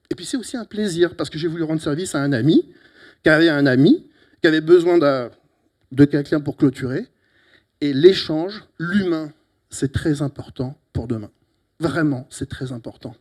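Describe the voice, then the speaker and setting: A middle-aged man from Italy with an Italian accent, giving a lively, teasing closing talk at a conference on a handheld mic, with sudden loud emphatic bursts. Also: a little high. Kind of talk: lively, joking conference speech. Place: conference hall. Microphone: handheld microphone.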